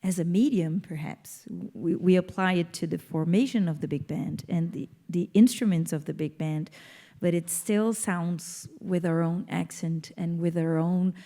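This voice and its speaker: A woman's voice: on the low side, unhurried, warm tone. A woman from Brazil with a Brazilian Portuguese accent, speaking English as a guest musician in an onstage interview, explaining her ideas thoughtfully with small restarts.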